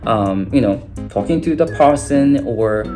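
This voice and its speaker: A man's voice: mid-range voice, animated, a little slow. A young man whose first language is Japanese, giving an unscripted video lesson with many fillers and restarts. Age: young adult. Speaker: language teacher. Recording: guitar music playing behind.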